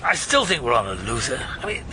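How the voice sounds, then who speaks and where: An actor as a fretful lawyer, animated pitch. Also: male voice, fast talker, background noise, natural voice. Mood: exasperated, gloomy. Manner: fretful, hesitant. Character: anxious, pessimistic junior male lawyer. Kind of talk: radio drama dialogue.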